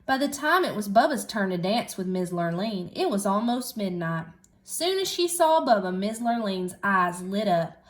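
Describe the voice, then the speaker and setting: A woman reading a children's story aloud in an expressive schoolteacher style. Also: mid-range voice, moderate pace. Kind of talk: animated children's storybook narration.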